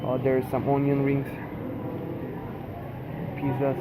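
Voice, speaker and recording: A man's voice: medium pitch, monotone, heavy background noise. Twenties male vlogger, Turkish accent, hesitant casual English, recorded on a phone in a busy supermarket. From Turkey. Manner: halting, many fillers and self-corrections. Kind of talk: casual walk-through vlog. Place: large supermarket indoors. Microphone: handheld phone camera. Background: store ambience.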